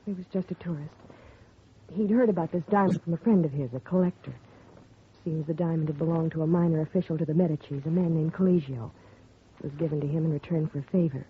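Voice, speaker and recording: An actress playing a grieving young woman whose father has been murdered, speaking softly and earnestly in a 1950s radio drama. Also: fairly low pitch, fairly quick, close to an everyday voice. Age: young adult. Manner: soft and earnest, recounting quietly. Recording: tinny lo-fi 1950 radio broadcast with faint hiss.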